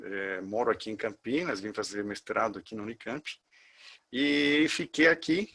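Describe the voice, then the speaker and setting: A man's voice: on the low side, normal speed, a bit bright. A middle-aged man from Chile with a Chilean Spanish accent, Spanish his first language, teaching a class in Portuguese with many fillers and restarts. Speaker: course instructor. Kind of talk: lecture to a class.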